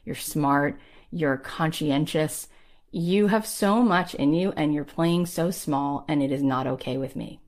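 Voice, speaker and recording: A woman's voice: low voice, measured pace, a little mellow. A woman podcast host and coach giving a motivational talk with personal anecdotes, emphatic and encouraging, repeating phrases for effect.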